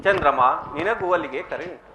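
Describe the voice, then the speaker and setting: A man's voice: a little high, moderate pace, a crowd in the background, natural voice. A man playing a reverent, earnest creator god in formal, emphatic mythological stage declamation. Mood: urging. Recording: roomy echo.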